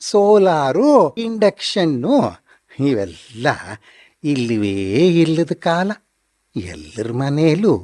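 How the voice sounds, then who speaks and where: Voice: no room sound, clean studio sound. A narrator telling a humorous period story in scripted radio drama narration. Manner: slow, storyteller delivery with lively pitch.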